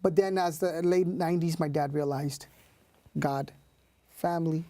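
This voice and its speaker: A man's voice: a little high, fairly even intonation, unhurried, mellow, dry recording. A man in his thirties, born in Puerto Rico, talking casually as an interview guest with many fillers and restarts. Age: 30s. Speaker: youth leader.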